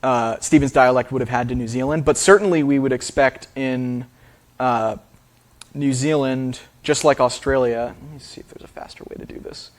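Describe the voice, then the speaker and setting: A man's voice: mid-range voice, fairly even intonation, normal speed. A man who is a linguist, answering audience questions after a talk in a theater, casual with many fillers. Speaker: speaker, linguist. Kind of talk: lecture Q&A answers.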